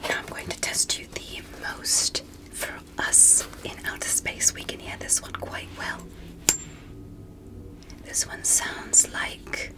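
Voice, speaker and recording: Woman playing a whispering, gentle alien doctor. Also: natural voice. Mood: hushed, clinical, curious. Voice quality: breathy, unvoiced whisper. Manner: slow, careful, softly reassuring. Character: polite, gentle alien doctor examining a human. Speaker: ASMR roleplay performer. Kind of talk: whispered ASMR medical roleplay.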